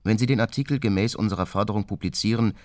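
Radio drama: male actor as cold, self-justifying anarchist bomber. Deep voice, deliberate declarations, very dry close sound. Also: a little mellow, dry recording, natural voice. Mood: cold, menacing. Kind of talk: radio drama monologue, read confession.

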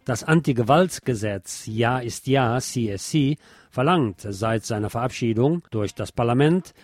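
A man's voice: mid-range voice, animated, measured pace, a little mellow, no room sound. A man hosting a radio programme, reading scripted local news and adding his own commentary.

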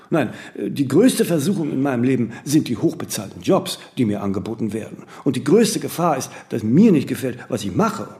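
A man's voice: mid-range voice, sing-song, moderate pace, mellow, lightly acted. A man playing a vain, disciplined, eccentric celebrity in a radio play, talking in flowing, emphatic, self-correcting monologues peppered with little tag questions. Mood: self-assured, emphatic. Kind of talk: radio play dialogue, conversational interview answers.

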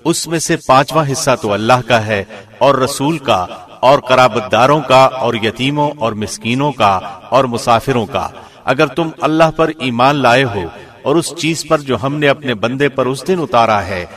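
Man, medium pitch, formal scripted reading, measured delivery, religious translation. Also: fairly bright tone. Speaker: reader of Urdu Quran translation.

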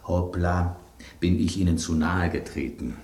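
An actor playing a proud, grumbling loaf of household bread in a German radio play, sardonic and indignant. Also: male voice, low voice, a little slow, darkish tone, reverberant.

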